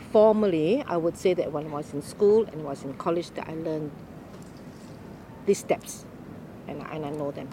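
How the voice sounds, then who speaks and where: An older woman from Malaysia telling her life story in an unhurried, explaining way during an interview. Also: on the low side, a little mellow, a little hiss or hum, rough recording. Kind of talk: oral history interview.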